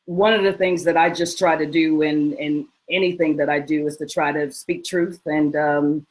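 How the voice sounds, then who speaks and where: A woman's voice: low-pitched, steady tone, moderate pace, a little mellow. A woman, a guest performer, reflecting earnestly and hesitantly in an informal panel discussion.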